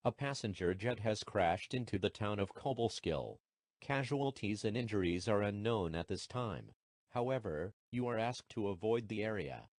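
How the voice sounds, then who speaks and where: A man voicing an official emergency alert announcer, formal and measured, reading a scripted broadcast message. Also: on the low side, animated, no echo. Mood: formal, impersonal.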